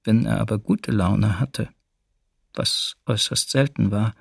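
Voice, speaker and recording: An actor playing a former camp prisoner, recounting the ordeal in a restrained, deliberate way for a documentary radio drama. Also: male voice, on the low side, vocal fry, breathy edge, no room sound, studio quality, heavily acted. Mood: restrained, pained. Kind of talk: documentary radio drama, first-person testimony.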